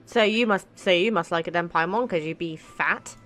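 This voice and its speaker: A woman's voice: on the low side, moderate pace, fairly bright tone. A woman giving casual, chatty, cheeky let's play commentary. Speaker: gaming streamer.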